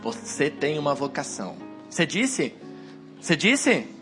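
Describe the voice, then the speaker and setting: A man preaching a sermon to a congregation on a handheld wireless mic, hoarse from a cold, exhorting and rising to emphatic peaks. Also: a little high, big pitch swings, measured pace, a bit bright, soft background music.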